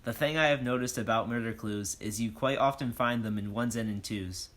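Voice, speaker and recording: An actor playing a bold, self-important police detective, declaiming in rhyme in a comic audio drama. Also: male voice, medium pitch, fairly quick, a bit bright, close to an everyday voice. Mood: accusing, boastful. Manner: declamatory, questioning, speaks in rhyme.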